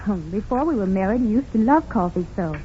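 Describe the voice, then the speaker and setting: A woman playing a sweet, concerned, gently reproachful newlywed wife in a 1930s radio advertisement. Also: medium pitch, animated, fast talker, natural voice. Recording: scratchy dull 1930s radio recording.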